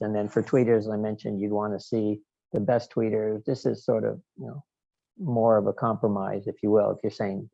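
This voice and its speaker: A man giving a technical talk in a halting, digressive, explanatory way. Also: lowish voice, gravelly, dark tone, no room sound, muffled recording. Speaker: guest lecturer, loudspeaker engineer. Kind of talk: technical presentation with question and answer.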